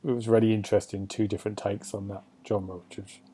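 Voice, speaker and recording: A man's voice: fairly low pitch, little pitch movement, quick pace, warm tone, slightly rough. A man hosting a podcast, chatting casually about games and television in a rambling, enthusiastic way. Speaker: podcast host.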